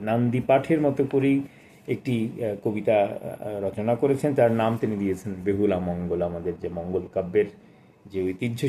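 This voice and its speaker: A man gives a literary book talk, reading aloud in a measured, deliberate way with formal diction. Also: mid-range voice, dark tone, a little hiss or hum. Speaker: book reviewer and poetry reader.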